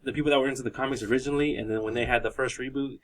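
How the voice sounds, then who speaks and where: A man's voice: medium pitch, fairly even intonation, rapid-fire, a bit bright. A man of about thirty hosting a podcast in casual, informal group chat. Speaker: podcast host.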